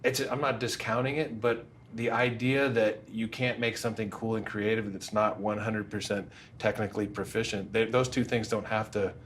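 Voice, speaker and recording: A man hosting an interview podcast, relaxed and agreeable, chatting with his guest. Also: lowish voice, moderate pace, hardly any pauses, slight background noise. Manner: relaxed, agreeable, backchannels a lot. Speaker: podcast host. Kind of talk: casual interview chat.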